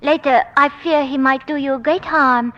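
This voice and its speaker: Loyal foreign maid, high voice, clipped formal broken English, vintage radio melodrama. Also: female voice, steady tone, moderate pace, fairly bright tone, muffled recording. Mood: dutiful, confiding. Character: loyal, secretive foreign maidservant, devoted to her mistress. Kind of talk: 1940s radio mystery acting. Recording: vintage 1940s radio recording.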